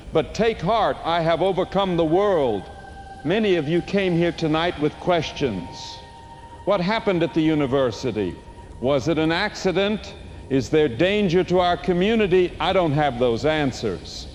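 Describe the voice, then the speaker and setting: An actor plays a male small-town preacher with a voice on the higher side. He sermonizes gravely and deliberately, consoling yet fervent, in a radio drama. Faint noise sits under the recording. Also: expressive, a little mellow, close to an everyday voice. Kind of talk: scripted evening sermon in an audio drama.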